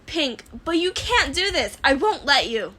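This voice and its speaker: A woman voicing an excitable, cheeky young inventor girl in a fan fiction audiobook. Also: high voice, a little slow, pitched above the performer's own voice, heavily acted. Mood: defiant. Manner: exclaiming, dismissive, cheeky.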